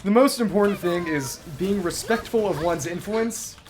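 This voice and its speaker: A man's voice: high voice, moderate pace, over music. A man livestreaming a video game, giving casual, bookish commentary that wanders into long tangents. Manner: bookish, discursive, digressive.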